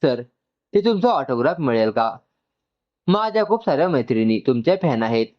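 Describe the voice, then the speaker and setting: A man's voice: medium pitch, very animated, moderate pace, dull audio, close to an everyday voice. A man voicing a polite, cautioning female hospital nurse in a narrated story. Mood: starstruck, confiding.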